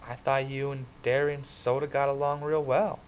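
A man doing a timid, soft-spoken teenage boy. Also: medium pitch, little pitch movement, normal speed, clean tone, muffled recording, voice pushed up, natural voice. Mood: bleak. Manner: soft, quiet, bleak.

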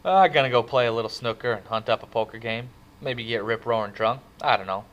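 Cocky teen wisecracker, drawls, throws it out loud, man reading the part. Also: medium pitch, fairly quick, lightly acted. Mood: easygoing. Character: cocky teenage boy, wisecracking and cool.

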